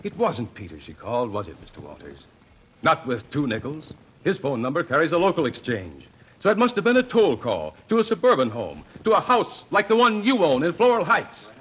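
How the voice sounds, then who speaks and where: An actor playing a methodical male courtroom investigator who presses accusations in a measured way, in an old radio drama. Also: a little high, huge pitch swings, a bit bright, breathy edge, full character voice. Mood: accusing. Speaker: lead detective. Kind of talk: 1940s radio acting. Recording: dull old radio broadcast with faint hiss.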